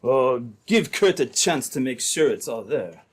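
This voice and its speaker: Casting: male actor as sly gold thief for old-time radio, formal and smooth, very varied pitch. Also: expressive. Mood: sly, cautioning. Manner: smooth, formal, deliberate phrasing. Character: smooth-talking, scheming Frenchman, a gold-robbery accomplice.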